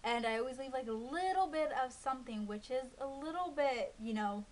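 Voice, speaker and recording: A woman's voice: high-pitched, animated, moderate pace. A woman in her early twenties chatting casually to camera, rambling with lots of ums and likes. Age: 20s. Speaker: beauty vlogger. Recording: faint hiss.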